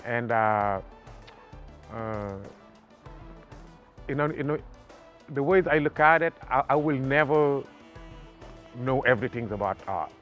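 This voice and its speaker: A man from Senegal with a Senegalese accent, talking haltingly about his art in a documentary interview, with many pauses and fillers. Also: mid-range voice, a bit bright, dull audio, faint music behind. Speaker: artist.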